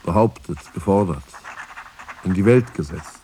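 An insistent, scholarly commentator in a radio drama. He speaks slowly in a lowish voice, weighing each word and stressing it pointedly. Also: expressive, dark tone, a little hiss or hum. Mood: pointed, deliberate. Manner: deliberate, emphatic, piling up synonyms pointedly. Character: learned, insistent male commentator dissecting a poet's self-portrait. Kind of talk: scripted literary radio-play commentary.

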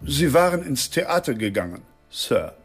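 A man's voice: medium pitch, very animated, measured pace, husky, soft background music, exaggerated voice. An actor playing a formal, deferential butler in a German radio drama, stiff and precise in his answers. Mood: formal, dutiful.

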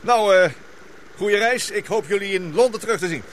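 An actor playing an excitable, loyal expedition companion in a radio drama, halting and exclamatory. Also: male voice, sits high, a little hiss or hum. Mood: hearty. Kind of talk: radio drama acting in Dutch.

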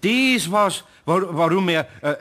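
An actor playing a flustered, good-natured older craftsman in a radio play, stammering and hesitant, with a Bavarian accent and a husky voice. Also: high-pitched, lively intonation, a little slow, fairly bright tone. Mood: flustered, evasive. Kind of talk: German radio play acting.

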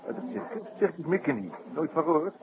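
A man's voice: fairly high pitch, lively intonation, quick pace, gravelly. An actor playing a genial, courteous French detective on holiday in a 1960s radio play, chuckling and hesitating as he talks. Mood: courteous, probing. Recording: noisy, muffled old radio broadcast recording.

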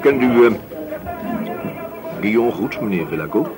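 An actor playing a calm, probing police inspector in a radio play, asking his questions in a measured way. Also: fairly low pitch, over loud music. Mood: calm, inquisitive. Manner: measured, questioning, murmured acknowledgements. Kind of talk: Dutch radio play acting. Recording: radio play with busy café chatter.

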